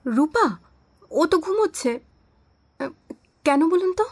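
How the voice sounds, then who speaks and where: Anxious, high-voiced married woman. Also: animated, slow pace, full character voice. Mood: puzzled. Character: anxious married woman, softly questioning, easily alarmed. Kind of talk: Bengali audio drama acting.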